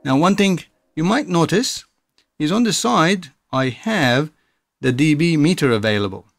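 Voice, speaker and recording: A man's voice: on the high side, lively intonation, unhurried, gravelly, dry recording. A man presenting a music production tutorial in a casual, explanatory, informal way. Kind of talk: casual software tutorial narration.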